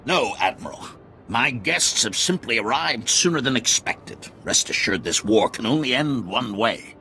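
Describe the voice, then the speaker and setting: Zealous Jedi master, bright forceful voice. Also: male voice, medium pitch, animated, measured pace, a little hiss or hum. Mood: defiant, solemn. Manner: solemn, righteous declarations. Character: zealous, defiant male Jedi master commanding troops. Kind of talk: dramatic video game acting.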